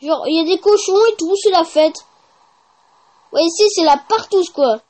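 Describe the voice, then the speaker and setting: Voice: extremely high pitch, normal speed, a little mellow. A gaming YouTuber giving casual, informal gameplay commentary with slang and swearing.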